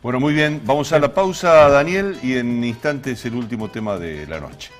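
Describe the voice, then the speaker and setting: Un hombre con acento argentino que conduce un programa periodístico en vivo. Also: male voice, mid-range voice, animated, normal speed, soft background music. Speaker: TV and radio show host. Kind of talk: live hosting.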